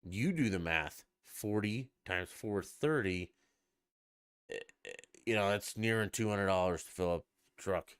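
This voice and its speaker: A man's voice: fairly low pitch, measured pace, smooth. A man hosting a podcast on his own, rambling and ranting with plenty of swearing. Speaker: podcast host. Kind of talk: casual solo podcast chat.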